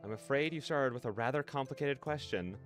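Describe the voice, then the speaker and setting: A man voicing a kind, calm young woman from a visual novel, reading politely and reassuringly. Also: mid-range voice, fairly quick, soft background music, voice pushed up, close to an everyday voice. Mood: gentle, reassuring.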